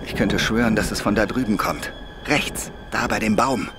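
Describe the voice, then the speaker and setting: An actor playing a commanding police officer giving urgent orders in a radio play. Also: male voice, lowish voice, moderate pace, background noise, lightly acted.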